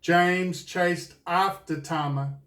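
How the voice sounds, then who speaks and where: A man with a New Zealand accent reading a children's storybook aloud in a deliberate, expressive storytelling way. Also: high voice, distant mic. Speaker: children's author reading his own book. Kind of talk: scripted storybook narration for children.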